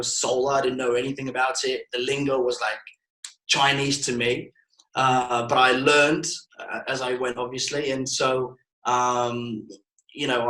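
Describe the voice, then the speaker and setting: Flat-voiced young man, echoey room. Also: mid-range voice. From Bulgaria. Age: 20s. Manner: hesitant, many restarts and fillers. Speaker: marketing agency guest. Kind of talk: casual podcast interview.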